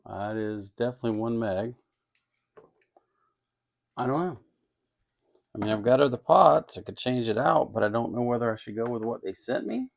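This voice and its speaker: A man's voice: mid-range voice, expressive, normal speed, vocal fry, pauses often. A man narrating hands-on work casually and without a script, musing and hesitating as he thinks aloud. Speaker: host of an electronics kit-building channel. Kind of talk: casual, unscripted workbench narration.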